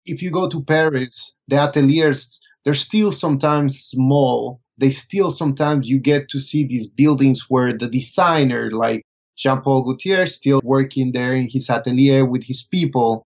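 A man from Colombia, speaking as a guest and telling his story in a relaxed, thoughtful way. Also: mid-range voice, unhurried, lo-fi sound. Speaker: guest photographer. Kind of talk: casual interview answers.

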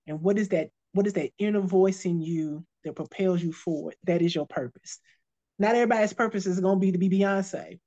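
A woman's voice: fairly low pitch, brisk, mellow, highs cut off. A woman in her fifties, a life coach, talking earnestly and encouragingly, often repeating phrases for emphasis. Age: 50s. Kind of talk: casual podcast chat.

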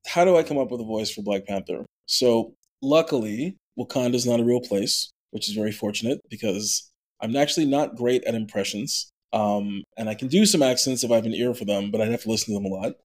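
A man, an actor, talking in a casual interview, animated and full of restarts, with a somewhat nasal voice. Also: medium pitch, a little fast. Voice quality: nasal natural voice. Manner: animated storyteller, many fillers and restarts. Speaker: actor and voice actor, podcast guest.

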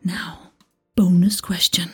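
Husky, mellow woman hosting a quiz. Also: lowish voice, level tone, slow pace, soft background music. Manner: playful, rambling, talks to herself. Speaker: quiz host. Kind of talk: casual, chatty quiz hosting.

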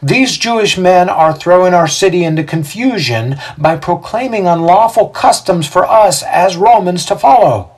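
A man's voice: sits high, lively intonation, normal speed, hardly any pauses, husky, pitched above the performer's own voice. A man voicing a scheming mob leader in a story, indignant and declamatory. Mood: indignant accusing. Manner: declamatory, theatrical oratory. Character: male mob leader, scheming indignant rabble-rouser accusing prisoners.

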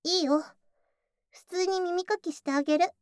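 A woman's voice: very high voice, fairly even intonation, moderate pace, no echo, clean studio sound. A woman voicing a bossy, teasing tsundere childhood friend working as a cafe waitress in an intimate ASMR voice drama, often slipping into whispers. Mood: flustered, huffy. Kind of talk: Japanese ASMR situation voice drama.

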